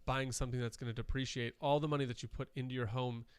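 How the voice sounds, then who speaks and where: A man's voice: medium pitch, little pitch movement, fast talker, clean tone, dry recording. A man co-hosting a podcast, chatting casually and rambling with fillers, a little nasal from dust. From Wisconsin. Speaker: podcast co-host. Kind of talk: casual chat.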